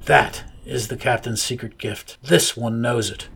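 A man's voice: medium pitch, measured pace, creaky, fairly bright tone, breathy edge, heavily acted. A man voicing a stern inner captain who speaks in firm declarations.

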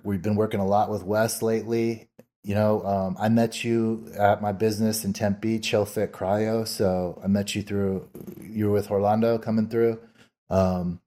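Low, mellow-voiced podcast host. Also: male voice. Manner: relaxed with frequent fillers and restarts. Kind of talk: casual interview chat.

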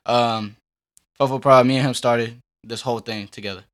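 A young man speaks at an average male pitch as a musician being interviewed. His talk is casual and slangy, loosely strung together with halting turns. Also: steady tone. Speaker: musician guest. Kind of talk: casual chat.

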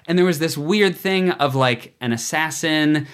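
A young man, a stage actor, telling stories in a casual interview, animated and full of fillers. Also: a little high, measured pace, clean tone. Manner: animated storytelling with many fillers.

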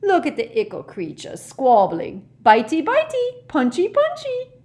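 A woman's voice: huge pitch swings, unhurried, a little mellow, exaggerated voice. A woman voicing a mischievous male poltergeist who squeals taunts in gleeful sing-song baby talk. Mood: gleeful taunting. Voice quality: shrill, squealing.

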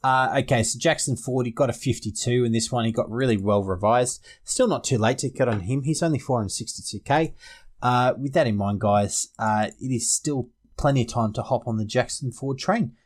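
A man's voice: medium pitch, moderate pace. A man hosting a casual sports podcast, chatting about fantasy picks. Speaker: podcast host.

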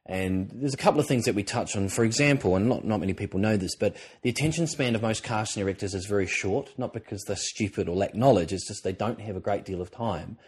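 A man co-hosting a podcast with a croaky voice, talking in an earnest, encouraging way and rambling with restarts. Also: lowish voice, fast talker, few pauses. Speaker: podcast co-host, presenter coach. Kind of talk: casual podcast talk.

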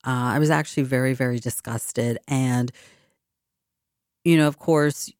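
A woman's voice: extremely low pitch, steady tone, measured pace, darkish tone, no echo. Boston woman hosting community radio, dry sound. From Boston area. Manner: conversational, rambling with fillers and restarts. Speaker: radio show host. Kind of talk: community radio talk show. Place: radio studio.

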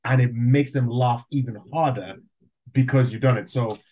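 Upbeat interviewer, grew up in Nigeria. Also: male voice, mid-range voice, normal speed, dark tone, husky. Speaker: interviewer, aspiring comedian. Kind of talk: casual conversational interview.

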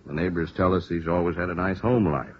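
An actor playing a businesslike American police lieutenant in a vintage radio drama, frank, firm, deliberate and emphatic. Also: male voice, sits low, fairly even intonation, close to an everyday voice. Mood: musing, businesslike. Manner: deliberate, emphatic, matter-of-fact. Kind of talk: 1950s radio drama acting. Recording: muffled, hissy old radio recording.

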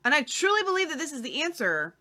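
A woman's voice: high-pitched, big pitch swings, fast talker, sharp tone. A woman co-host talking in a casual, opinionated way, emphatic and full of repeated questions.

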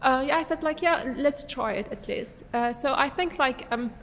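A woman's voice: sits high, moderate pace, a bit bright, long reverb tail, slight background noise. A young woman from Syria, a podcast guest, answering casually with many fillers and restarts.

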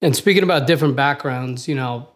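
A young man, a recent graduate, co-hosting a casual podcast in a relaxed way with many "you know"s. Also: mid-range voice, fast talker. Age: young adult. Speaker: podcast co-host, junior architect. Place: office.

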